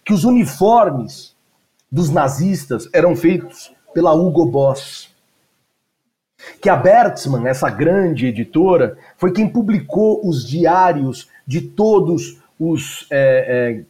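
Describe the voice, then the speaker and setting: A man's voice: on the high side, lively intonation, slow, warm tone. A male teacher giving a lecture, digressing with frequent asides and fillers as he talks. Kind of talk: lecture discussion.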